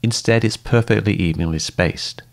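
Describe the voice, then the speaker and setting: A man giving calm, methodical scripted narration that explains things step by step. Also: fairly low pitch, moderate pace, creaky. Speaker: host and debunker.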